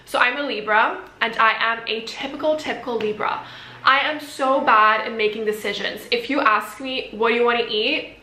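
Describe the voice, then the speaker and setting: A woman's voice: fairly high pitch, normal speed, echoey room, a little hiss or hum, low-quality recording. A woman in her twenties from Belgium whose first language is Dutch, chatting casually to camera in a question-and-answer vlog, gesturing as she talks. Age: 20s. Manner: chatty, animated hand gestures. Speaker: vlogger. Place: bright room at home, indoors.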